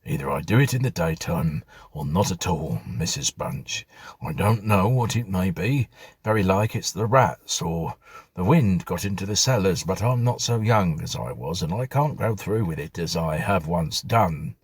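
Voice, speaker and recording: A man's voice: sits low, expressive, moderate pace, breathy edge, dry recording. A man voicing a flustered, superstitious ageing butler in a storybook reading, rambling in colloquial servant speech.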